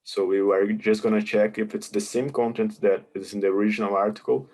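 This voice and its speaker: A man's voice: lowish voice, level tone, a little fast, darkish tone. A man presenting a webinar, patiently explaining contest rules and answering questions over a video call. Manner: patient, explanatory, hesitant with many fillers.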